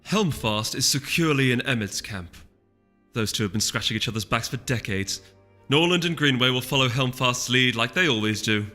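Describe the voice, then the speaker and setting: A man's voice: medium pitch, animated, normal speed, sharp tone. A man voicing an imperious, frustrated noble mare duchess in a fantasy storybook, with a haughty, emphatic delivery. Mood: insistent, urgent. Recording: long reverb, music underneath.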